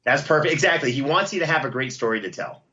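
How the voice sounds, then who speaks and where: A man talking as an interview guest in an emphatic, pitching, storytelling way, over a slightly dull connection. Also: animated, quick pace, sharp tone. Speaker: podcast host and producer, interview guest. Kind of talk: conversational interview.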